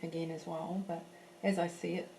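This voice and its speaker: A fairly low, mellow-voiced woman talks through a painting lesson at an easy, chatty pace, often restarting her sentences. A faint hiss sits under her voice. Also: flat delivery, moderate pace, creaky, poor audio. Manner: chatty, thinks aloud with fillers and restarts. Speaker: art teacher. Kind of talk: live painting class, casual talk. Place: home in a French village.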